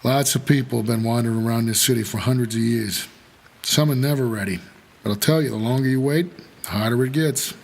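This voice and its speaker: A weary ferryman of the dead in a noir audio drama. He is American, speaking in terse, measured first-person narration with a guarded, brooding manner. The voice sits at a middle pitch and is slightly husky. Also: a little mellow. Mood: calm, reassuring. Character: weary, guarded supernatural driver who ferries the dead.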